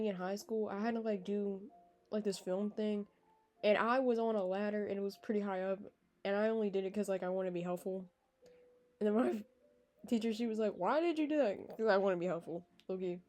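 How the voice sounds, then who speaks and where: A woman's voice: mid-range voice, mellow. A nineteen-year-old woman reading a visual novel aloud, breaking off into chatty, laughing tangents. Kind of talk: game playthrough with casual asides.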